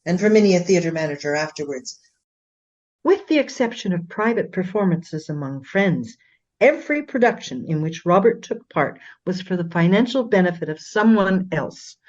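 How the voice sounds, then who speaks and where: A woman playing a proud, indignant Regency gentlewoman in a radio play, speaking formally and emphatically in defence of her husband. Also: fairly low pitch, expressive, normal speed, muffled recording, natural voice. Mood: emphatic, defensive.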